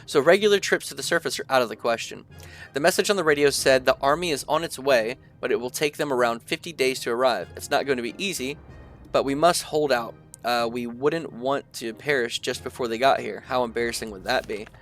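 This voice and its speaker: A young man, stuffy from allergies, talks through a survival game in a relaxed, chatty way, pausing often to think out loud. His pitch sits right in the middle of the male range. Faint music plays behind him. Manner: relaxed, chatty, thinks aloud with many fillers. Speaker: gaming video creator. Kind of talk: casual let's-play commentary, reading game text aloud.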